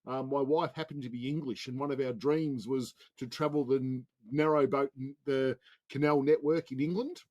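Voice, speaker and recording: A man's voice: mid-range voice, steady tone, normal speed, a little mellow. A man with an Australian accent hosting a casual group video call, chatting in an informal, chummy way. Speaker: host of networking call.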